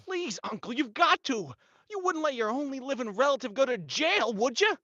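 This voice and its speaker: An actor playing a desperate, exasperated nephew in a melodramatic 1940s-style horror radio play. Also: male voice, very high-pitched, very animated, normal speed, pushed and bright, no room sound, lo-fi sound, exaggerated voice. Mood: pleading. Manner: pleading, indignant, melodramatic.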